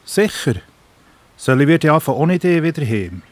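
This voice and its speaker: A man's voice: fairly high pitch, sing-song, moderate pace, dry recording, voice pushed up. A man voicing a blunt Roman soldier in a children's audio drama.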